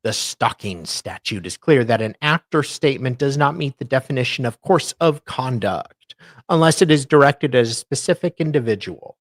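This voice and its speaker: A man's voice: medium pitch, animated, moderate pace, no room sound, clean studio sound. A man co-host reading formal text aloud, stumbling now and then over the words.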